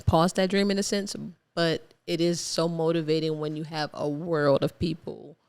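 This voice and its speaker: A young woman from Ohio, a guest artist talking through her journey in a relaxed, reflective interview, laughing easily. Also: deep voice, moderate pace, clear, resonant tone. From Ohio, USA. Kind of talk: casual interview chat.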